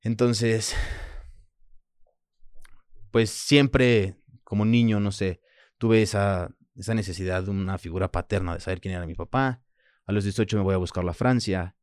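Very low-voiced Mexican man, reflective. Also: steady tone, a little mellow, no room sound, studio quality. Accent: Mexican Spanish. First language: French. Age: 30s. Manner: reflective, halting, frequent "pues" and "¿sabes?". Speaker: guest. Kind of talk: personal interview.

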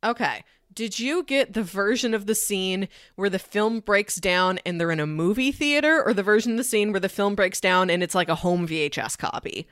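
A woman's voice: medium pitch, lively intonation, normal speed, few pauses, a bit bright, no room sound, studio quality. A woman co-hosting a podcast, chatting casually about films and peppering her explanations with "like". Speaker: podcast co-host. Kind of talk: casual film discussion chat.

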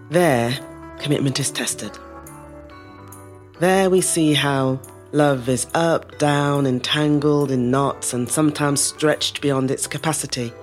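An actress playing a reflective middle-aged woman born in Zimbabwe, telling her family's story in a measured, lyrical scripted monologue. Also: extremely low pitch. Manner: measured, lyrical, deliberate phrasing. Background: music.

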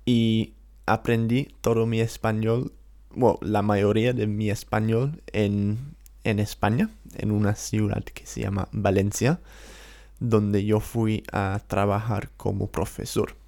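London man, co-host, unhurried easygoing chat with a gravelly edge, English accent. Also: fairly low pitch, fairly even intonation, a little mellow. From England, London. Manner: easygoing, hesitant fillers. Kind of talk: casual conversation.

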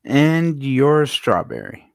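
A man's voice: mid-range voice, wildly swooping pitch, slow pace, gravelly, no room sound. A man co-hosting a radio show in casual conversation. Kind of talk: casual chat.

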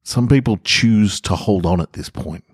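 A man with a very deep voice speaks as the host and reader of a podcast. His phrasing is measured and deliberate, with space left between thoughts. The sound is close and very dry, with hardly any room on it. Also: slightly rough, no room sound. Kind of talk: scripted literary monologue narration.

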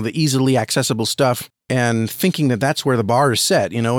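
A man's voice: medium pitch, no room sound, studio quality. A man speaking as an interview guest, giving earnest, explanatory advice in a conversational way. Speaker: podcast guest, audio drama creator and reviewer.

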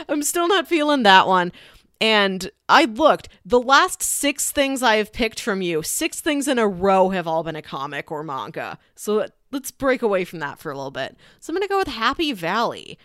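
A woman's voice: mid-range voice, very animated, moderate pace, a bit bright, no echo, clean studio sound. A woman co-hosting a podcast in casual chat, frank and conversational. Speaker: podcast co-host.

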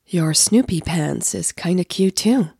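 A woman's voice: fairly low pitch, expressive, measured pace, gravelly, dark tone, breathy edge, no room sound, clean studio sound, full character voice. A woman voicing a poised, teasing, flirtatious woman in a romantic audio drama, cool and understated.

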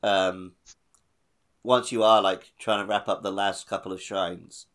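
A man's voice: low-pitched, flat delivery, a little slow, a bit bright. A man co-hosting a podcast, talking in a casual, conversational way. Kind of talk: casual chat.

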